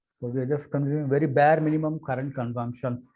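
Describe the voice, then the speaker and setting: A man narrating an unscripted technical demo as an engineer, with halting, hesitant phrasing and repeated words. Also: mid-range voice, fairly even intonation, a little mellow, poor audio. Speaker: engineer presenting a product demo.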